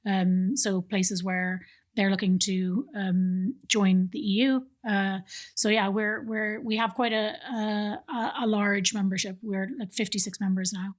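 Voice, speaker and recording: A middle-aged Irish woman, a think tank director, explaining her work in a relaxed interview with frequent ums and restarts, recorded on a stand mic in a living room studio. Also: medium pitch, measured pace. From Ireland. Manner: explanatory, many fillers and restarts. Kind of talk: conversational interview.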